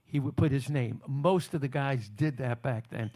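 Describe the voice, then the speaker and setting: A man's voice: medium pitch, moderate pace, dark tone. A man interviewing veteran singers in a casual chat, talkative and explaining music business history at length. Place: on a cruise ship.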